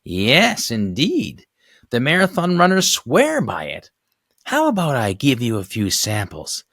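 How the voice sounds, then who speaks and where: A man voicing a nosy, pushy older woman neighbour in a storybook, shrill and eager, with drawn-out words. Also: huge pitch swings, fairly bright tone, no echo, studio quality, heavily acted.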